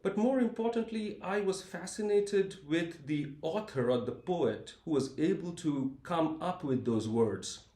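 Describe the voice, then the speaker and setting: A man, a university professor telling his story in an interview in a thoughtful, measured way with frequent hesitant fillers. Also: on the high side, expressive, warm tone. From India (Calcutta). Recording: roomy echo.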